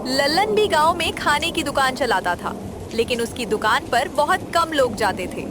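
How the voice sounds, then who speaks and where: High-pitched woman narrating a children's story. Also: lively intonation, moderate pace, background noise. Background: music under the voice.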